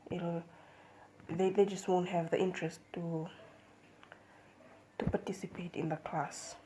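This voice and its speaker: A young woman giving a seminar presentation as a student, measured and hesitant, with fillers and restarts. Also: fairly low pitch, little pitch movement, noisy background. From Fiji.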